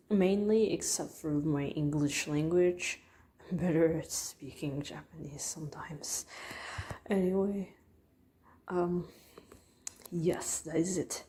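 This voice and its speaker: A nervous woman vlogging casually from a hospital room, hesitant and apologetic with many fillers, sometimes dropping into soft whispered asides. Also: sits low. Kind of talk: casual talk to camera.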